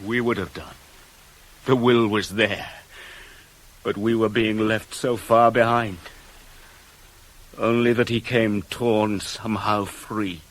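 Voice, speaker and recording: A slow, intense tragic messenger. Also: male voice, lowish voice, rough recording. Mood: grieving, solemn. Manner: measured, declamatory, intense. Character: anguished male servant messenger of ancient Greek tragedy. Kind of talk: theatrical verse recitation for radio drama.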